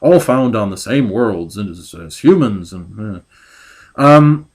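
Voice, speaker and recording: A man's voice: on the low side, expressive, a little mellow. A man giving a critical book review as a rant. He sounds exasperated, stops and restarts often, and records at home on a desktop mic. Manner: exasperated, ranting; halting, full of restarts.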